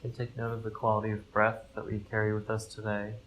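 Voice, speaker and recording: A man leading a guided meditation, giving gentle, calm instructions in measured phrases with pauses between them. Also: on the low side, rough recording. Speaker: mindfulness fellow, meditation guide. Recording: live recording with clear background noise.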